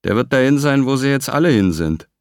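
A man voicing a gruff, sarcastic male porter with a Berlin accent, brusque and mocking. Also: mid-range voice, expressive, fairly quick, a little mellow, dry recording, clean studio sound, pitched above the performer's own voice.

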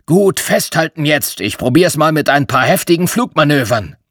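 A man voicing a kindly old bear spirit living in a flying rug, speaking gently and calmly. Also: fairly high pitch, normal speed, no room sound, studio quality, voice pushed up. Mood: urgent. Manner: gentle, chuckling, calm.

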